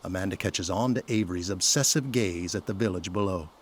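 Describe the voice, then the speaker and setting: A man's voice: deep voice, fairly quick, breathy edge. A man reading scripted dramatic narration for an audio drama in a descriptive storytelling manner.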